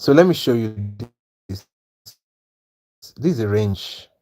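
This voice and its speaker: A man's voice: medium pitch, lively intonation, takes it slowly, mellow, faint music behind. A man from Nigeria with a Nigerian accent, hosting a trading livestream and talking earnestly and persuasively to viewers in the chat. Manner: earnest, persuasive, repeats "you understand?". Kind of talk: live-stream talk to chat viewers.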